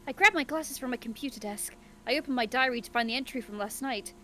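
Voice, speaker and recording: A woman reading aloud as a shy, flustered teenage schoolgirl in a visual novel, expressive and earnest. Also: on the high side, quick pace, faint music behind, natural voice.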